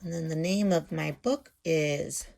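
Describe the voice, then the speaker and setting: Slow-paced woman teaching young children. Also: deep voice, creaky, darkish tone. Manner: simple child-directed explaining with tag questions. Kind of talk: casual instructional talk while drawing.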